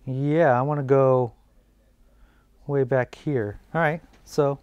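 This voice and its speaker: A man's voice: mid-range voice, slow pace, clear, resonant tone, no room sound, dull audio. Man explaining woodworking in his workshop. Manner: relaxed, thinking aloud while working. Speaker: woodworking channel host. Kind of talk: casual workshop how-to narration. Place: indoor home workshop.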